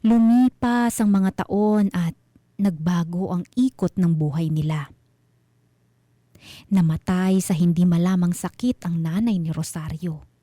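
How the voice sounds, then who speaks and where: A woman with a Filipino accent narrating a scripted mystery story as host, in a measured, suspenseful manner. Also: on the low side, warm tone, no echo, studio quality.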